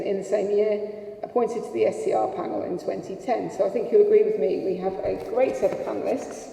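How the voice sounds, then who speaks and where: A woman's voice: medium pitch, vocal fry, dark tone. A woman chairing a conference panel, introducing speakers in a chatty, self-deprecating way with many fillers. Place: conference hall. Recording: echoey hall from far off.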